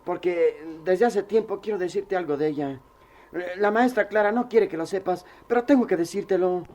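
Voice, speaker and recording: High-voiced, mischievous Mexican lad played by a man. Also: moderate pace, a little mellow, close to an everyday voice. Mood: earnest. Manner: colloquial, frank, playful. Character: mischievous, good-hearted, skinny young slum pal. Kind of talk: radio drama acting.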